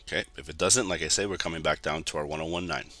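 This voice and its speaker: A man from Canada with a raspy, hoarse voice, giving casual live commentary on a trading stream and talking straight to his viewers. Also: extremely low pitch, steady tone, quick pace, bright, forceful tone, soft background music. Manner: chatty, keeps addressing viewers as guys.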